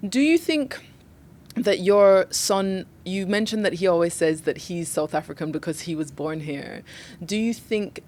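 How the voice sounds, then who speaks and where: A woman hosting a conversational interview, asking relaxed questions and affirming her guest often. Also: on the low side, a little slow. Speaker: interview host.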